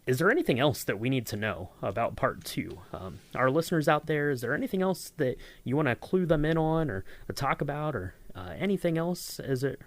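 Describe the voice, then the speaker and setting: A man's voice: medium pitch, expressive, brisk, few pauses. A man co-hosting a podcast in a casual behind-the-scenes chat, rambling with lots of fillers and restarts. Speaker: podcast co-host.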